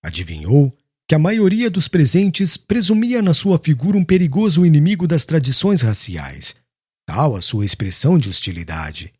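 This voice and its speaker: A man's voice: on the high side, sing-song, normal speed, darkish tone, slightly rough, no room sound, studio quality. A man narrating an audiobook in a solemn, formal and dramatic literary style. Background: music.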